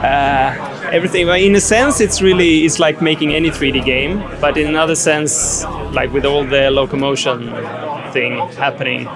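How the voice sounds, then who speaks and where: A man, a VR game developer, answering interview questions in a hesitant, halting way with many fillers. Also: fairly high pitch, fairly even intonation, a crowd in the background. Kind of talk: casual interview.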